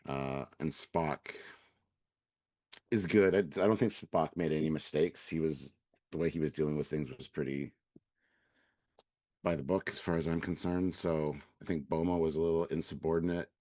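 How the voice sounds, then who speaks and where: A man co-hosting a live review show, laid-back and halting, his voice raspy from a cold. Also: extremely low pitch, fairly even intonation, mellow, no echo. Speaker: co-host. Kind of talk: live-stream review chat.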